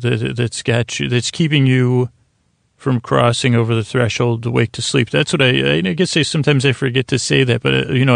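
A man speaks in a gravelly, creaking voice that stays very flat in pitch. He hosts a sleep podcast, rambling soothingly and losing his thread mid-sentence. The sound is close and dry. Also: no echo. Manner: lulling, soothing delivery; meandering, halting, trailing off mid-thought. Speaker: podcast host.

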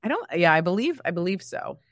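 A woman's voice: lowish voice, big pitch swings, fast talker, dry recording, studio quality. A woman co-hosting a podcast, chatting casually about reality TV in a wry, gossipy way. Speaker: podcast co-host.

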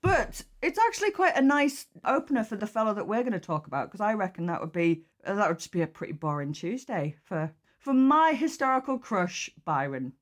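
A woman's voice: medium pitch, sing-song, brisk, hardly any pauses, no room sound, studio quality. A woman hosting a history podcast in a chatty, cheeky and self-mocking way, given to exclamations. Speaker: podcast host.

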